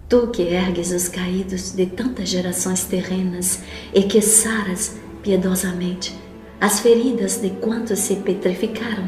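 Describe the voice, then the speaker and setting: A woman playing a humble, grieving wife in an audio drama, praying in a solemn, pleading manner. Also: lowish voice. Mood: sorrowful supplication. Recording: echoey room with loud music bed. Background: prominent background music.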